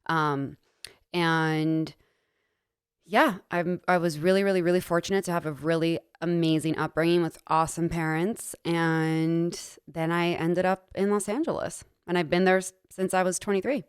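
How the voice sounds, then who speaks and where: A young adult woman from the United States, co-hosting a podcast and talking in a relaxed, matter-of-fact way. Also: lowish voice, moderate pace, clear, resonant tone, no room sound, studio quality. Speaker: podcast co-host. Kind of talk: casual chat.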